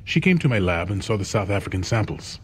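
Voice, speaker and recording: A man's voice: fairly low pitch, sing-song, fast, breathy edge. A man playing a grave, dignified scientist giving testimony in a video game cutscene.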